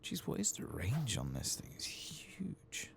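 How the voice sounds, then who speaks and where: A man giving soft-spoken, whispered ASMR commentary over a game playthrough, murmuring casually as if to himself. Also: low-pitched, wildly swooping pitch, moderate pace, creaky, noisy background. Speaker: gaming ASMR host.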